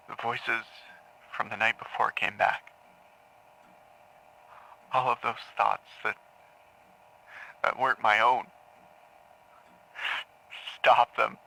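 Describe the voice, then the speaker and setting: An actor playing a haunted man in an audio drama, confessing in halting, repetitive phrases to a grim crime. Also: medium pitch, vocal fry, sharp tone, exaggerated voice, poor audio. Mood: frantic, commanding. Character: haunted man confessing to killing his parents in therapy. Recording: taped therapy session sound.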